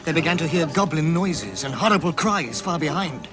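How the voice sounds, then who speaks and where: A man giving measured, dramatic scripted narration of a fantasy tale. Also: fairly high pitch, expressive, natural voice. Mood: dramatic, tense. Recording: music under the narration. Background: musical score.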